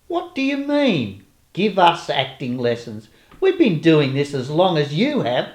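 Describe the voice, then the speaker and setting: A man's voice: fairly high pitch, huge pitch swings, unhurried, roomy sound. A man playing a scheming, indignant radio actor in a comic radio play.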